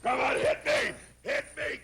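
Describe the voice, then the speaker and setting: A man, a pro wrestler, ranting a menacing promo in a gravelly rasp, repeating worked-up threats. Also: unhurried, heavy background noise. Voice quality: gravelly, rasping. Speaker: professional wrestler.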